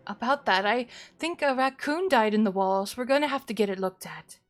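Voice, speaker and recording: A woman's voice: on the high side, lively intonation, moderate pace, close to an everyday voice. Woman acting a needy, doting, strained mother, emphatic. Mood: flustered, evasive. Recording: music behind the voice.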